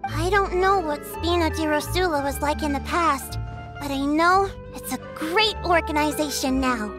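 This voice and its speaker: A woman voicing a tiny, childlike, enthusiastic companion creature in a video game, chirpy and squeaky. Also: moderate pace, close to an everyday voice. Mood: supportive. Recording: music clearly behind the voice.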